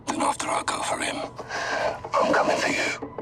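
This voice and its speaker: An actor playing a tough, sardonic army captain in a military game, blunt and menacing. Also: male voice, normal speed, loud music behind the voice, lightly acted. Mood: grim, threatening. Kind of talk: dramatic game acting.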